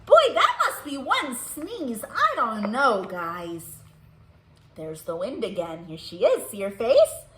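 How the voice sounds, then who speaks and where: A woman with a high, bright voice reads aloud to young children at an unhurried pace. She is sing-song and animated, putting simple questions to her listeners. The room gives her voice an audible echo. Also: slight background noise. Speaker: children's librarian hosting musical storytime. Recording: roomy echo.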